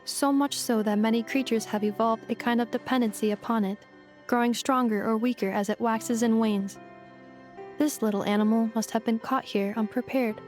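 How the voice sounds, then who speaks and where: A strict, composed female android in a video game voiced by a woman speaks in a fairly high voice. The wording is formal and exact, delivered in a measured, matter-of-fact way over soft music. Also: steady tone, lightly acted. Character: Ineffa, female robot caretaker, calm, precise, strict.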